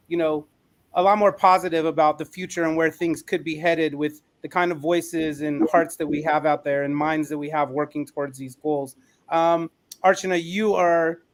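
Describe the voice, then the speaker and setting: A man co-hosting a panel podcast in a conversational style. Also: a little high. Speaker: podcast co-host.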